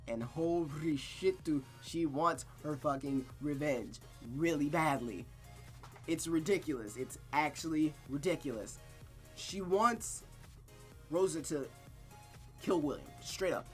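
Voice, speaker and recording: A man with a fairly high voice hosts a story game stream over faint music. He reads narration aloud and chats casually about the plot, with slangy, exclamatory phrasing and emphatic repetition. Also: fairly bright tone. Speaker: streamer reading a visual novel aloud. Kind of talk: narrated reading and casual, profane recap chat.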